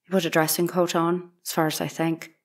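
A woman's voice: fairly low pitch, flat delivery, fairly quick. A woman playing a reserved, plain-spoken housemaid giving terse testimony in a dramatic courtroom reading. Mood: reserved, matter-of-fact. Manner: terse, matter-of-fact answers.

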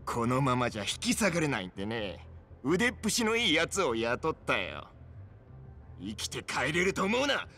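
An actor playing a vengeful, threatening street thug in a video game drama. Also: male voice, mid-range voice, huge pitch swings, normal speed, bright, forceful tone, soft background music, exaggerated voice. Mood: menacing.

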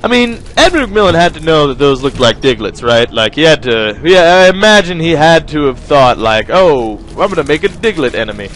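A man's voice: a little high, sing-song, fairly quick, hardly any pauses, a bit bright, a little hiss or hum. A young man giving casual, rambling commentary over a video game, joking and talking to his viewers. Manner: rambling, joking, self-mocking, talks to viewers. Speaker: gaming video host. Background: faint game music.

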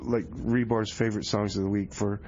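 A man's voice: lowish voice, level tone, moderate pace, darkish tone. A man hosting a radio show, mixing casual comedy banter with music presenting. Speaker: radio host. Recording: slightly dull, lo-fi radio sound.

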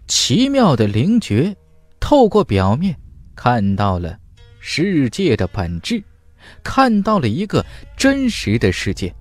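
A man narrating a fantasy audiobook in a dramatic scripted style, with emphatic, deliberate delivery. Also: mid-range voice, dry recording.

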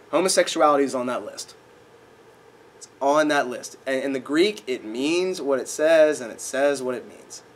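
A young man preaching a sermon in a dark auditorium, a little nasal from a cold, animated and acting out voices in his stories. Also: medium pitch, slightly rough. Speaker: pastor. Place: dark auditorium with projected screen.

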